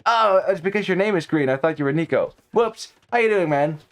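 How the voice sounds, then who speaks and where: A man's voice: high voice, animated, a little fast. Chatty Dutch man casting a strategy game. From Netherlands. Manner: chatty, rambling, many restarts and fillers. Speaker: esports caster. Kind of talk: live game commentary with chat banter.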